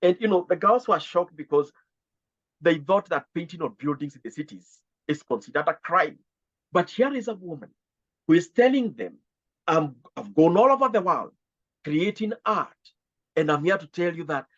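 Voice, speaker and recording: A man's voice: fairly high pitch, expressive, normal speed. A man, the head of an education organisation, answering interview questions in an earnest way, stacking ideas into lists. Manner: earnest, repetitive, builds lists. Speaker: CEO of a VR education organisation, guest. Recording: slightly dull lo-fi sound.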